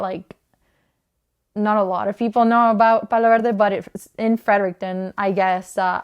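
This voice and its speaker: Clear, smooth-voiced young woman from Honduras. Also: mid-range voice, highs cut off. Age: 20s. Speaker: small clothing business owner, interviewee. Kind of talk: casual interview monologue about own work.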